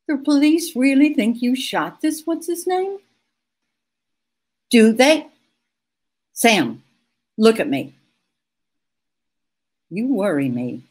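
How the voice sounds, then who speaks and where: Woman acting a worried secretary, slow, very expressive. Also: fairly high pitch, full character voice. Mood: worried, chiding. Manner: frank, scolding concern. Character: frank, worried, loyal female secretary to a detective. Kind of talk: old-time radio detective drama re-creation.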